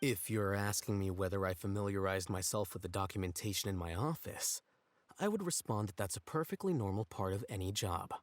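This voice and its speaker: An actor playing a coolly self-assured young male scholar in a video game, measured, formal and deadpan. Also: low-pitched. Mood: dry.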